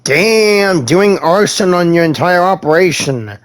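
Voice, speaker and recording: A man's voice: sits high, lively intonation, a little slow, slight background noise. A man giving casual, laid-back, reactive gameplay commentary with mild swearing. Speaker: game streamer.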